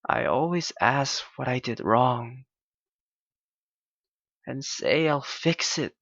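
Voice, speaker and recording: A man playing a clingy, heartbroken young yandere lover in an intimate ASMR roleplay, halting and pleading in his anguish. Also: mid-range voice, lively intonation, vocal fry, a bit bright, no room sound, lo-fi sound, exaggerated voice. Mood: quiet sorrow.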